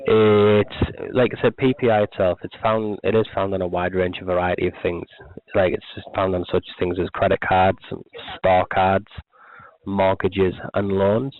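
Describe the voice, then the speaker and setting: A man, a telemarketer giving a rambling, repetitive sales pitch over the phone. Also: flat delivery, normal speed, dry recording. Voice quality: croaky. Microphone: telephone. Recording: tinny phone line.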